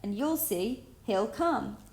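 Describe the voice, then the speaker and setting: A woman voicing a bossy, sharp-tongued female doll who lectures the others, in a children's story read aloud. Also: fairly high pitch, animated, slow pace, reverberant. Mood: scheming.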